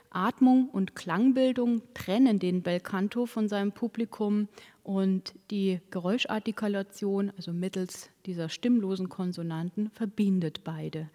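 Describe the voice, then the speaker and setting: A woman delivers a formal academic lecture at a mid-range pitch, reading carefully composed sentences in a measured way. She sometimes stops to correct a word before moving on. Her voice carries a long reverb, as if from a large lecture hall. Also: mellow. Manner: measured, academic phrasing with occasional self-corrections.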